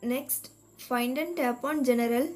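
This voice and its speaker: A woman reading a scripted step-by-step tech tutorial in a measured, instructional manner. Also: high-pitched, a little mellow. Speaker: tutorial narrator. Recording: voice-over with music behind. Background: background music.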